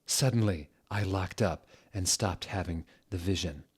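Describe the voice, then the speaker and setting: A man giving a comic dramatic reading of a story, theatrical and deliberate, with incredulous asides. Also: low voice, breathy edge. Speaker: dramatic reader of bad fan fiction.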